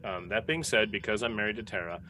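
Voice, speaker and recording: A man answering casually as a podcast cast member, in a measured and somewhat hesitant manner. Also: lowish voice, pushed and bright, faint music behind. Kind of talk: casual group chat.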